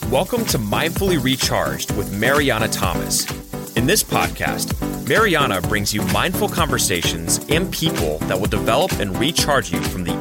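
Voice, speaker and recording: An announcer reading a scripted podcast intro. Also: medium pitch. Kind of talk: scripted show intro over music.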